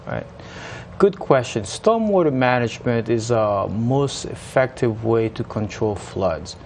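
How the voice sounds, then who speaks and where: A man from Haiti with a Haitian accent, a municipal engineer chatting as a guest in an interview and often pausing on fillers. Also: medium pitch, lively intonation, a little hiss or hum. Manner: hesitant, many uh fillers and restarts. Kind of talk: casual interview chat.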